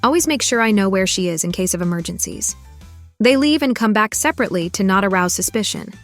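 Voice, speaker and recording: A woman playing a composed, protective friend in an animated comedy, with an earnest, crisp way of talking. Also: medium pitch, fast talker, soft background music, natural voice. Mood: knowing, confiding.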